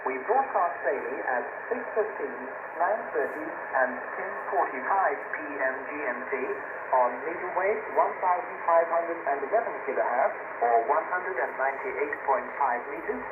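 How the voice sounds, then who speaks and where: Higher-pitched male announcer on noisy shortwave radio. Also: expressive. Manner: measured, deliberate enunciation. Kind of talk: formal scripted shortwave broadcast announcement. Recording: noisy, echoey shortwave radio recording, muffled.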